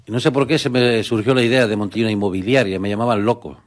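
A man's voice: fairly low pitch, fairly even intonation, fast. A middle-aged man from the Canary Islands, a guest on a radio interview, talking earnestly and hesitantly with many restarts. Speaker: guest, rural real estate agent. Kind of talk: conversational interview.

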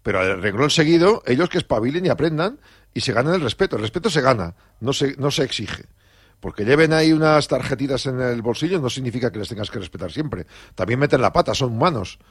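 Heated male presenter, husky and rapid, dry booth sound. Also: mid-range voice, animated, no room sound. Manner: fast, indignant, emphatic. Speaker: radio presenter. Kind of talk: heated radio opinion.

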